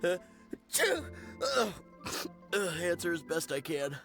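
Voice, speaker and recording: Sick townsman in a game, stuffed up n coughy, hesitant, sorry, pitch jumping around. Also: sing-song, creaky, fairly bright tone, soft background music, heavily acted. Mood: sickly, hesitant. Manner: halting, hesitant. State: coughing and sneezing, congested. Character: ailing, apologetic male dockside townsman. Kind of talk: video game cutscene voice acting.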